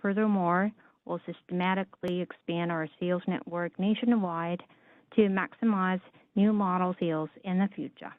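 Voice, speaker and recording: A woman giving a formal scripted business presentation as a conference call interpreter, reading in a measured, orderly way. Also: fairly low pitch, fairly even intonation, no echo. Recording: narrow, dry phone-like line.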